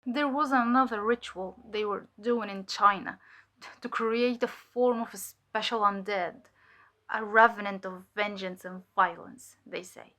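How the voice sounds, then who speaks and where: A woman playing a fearful, guilt-ridden cult infiltrator giving halting, emotional testimony in an audio drama. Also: mid-range voice, steady tone, pushed and bright. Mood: uneasy, urgent.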